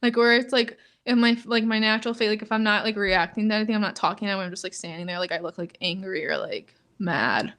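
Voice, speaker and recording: A young woman in her twenties co-hosting a podcast in casual chat, talking in a flat monotone and filling nearly every phrase with "like". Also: fast, hardly any pauses. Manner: says "like" constantly, flat and low-key, laughs often. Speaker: podcast co-host.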